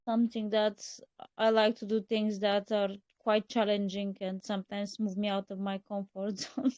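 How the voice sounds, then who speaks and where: A woman from Bulgaria joining a casual group video call, chatty and soft, with frequent laughter. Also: medium pitch, monotone, normal speed, no echo, lo-fi sound.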